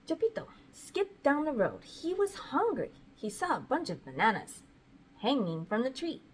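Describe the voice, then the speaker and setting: A woman's voice: high voice, very animated, moderate pace, slight background noise. A woman reading a children's picture book aloud in a casual style, with chatty asides to the viewers. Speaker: read-aloud host and parent.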